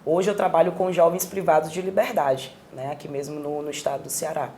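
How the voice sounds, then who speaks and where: A woman talks through her answers in a calm, measured way. Her voice sits low for a woman, with a faint background hiss in the recording. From Piauí, Brazil. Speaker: guest, psychologist. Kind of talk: conversational interview answers.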